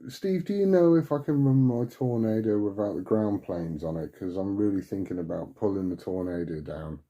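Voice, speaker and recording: Man, deep voice, very muffled dark tone, casual musing, hobbyist radio chat. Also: moderate pace. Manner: musing, thinking out loud. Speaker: CB radio hobbyist asking for advice.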